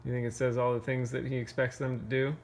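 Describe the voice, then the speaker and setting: A man reading a picture book aloud to children, playfully, pausing for casual questions and asides. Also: medium pitch, little pitch movement, a little hiss or hum. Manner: playful, pauses to ask questions. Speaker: read-aloud storyteller.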